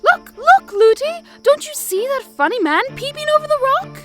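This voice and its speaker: Woman putting on a tiny princess girl, super high squeaky voice, bouncy and eager, storybook read-aloud. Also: sing-song, measured pace, a bit bright, faint music behind, heavily acted. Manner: breathless, eager chatter. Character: small chattering girl princess.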